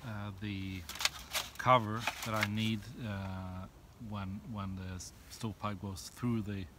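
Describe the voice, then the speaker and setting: A middle-aged man casually demonstrating outdoor gear in a halting, unscripted way, recorded on a camera in a tent and snowy woods. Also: lowish voice, little pitch movement. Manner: hesitant, halting step-by-step explaining. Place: snowy forest and inside a tent. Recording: clearly noisy camera audio with clicks.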